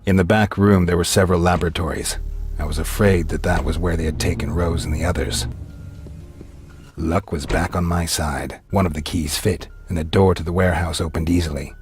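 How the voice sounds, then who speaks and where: An actor playing a brooding vampire hero who narrates his hunt gravely and in a measured way for a video game. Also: sits low, fairly even intonation, natural voice. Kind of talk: scripted noir-like game narration. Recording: loud music behind the voice.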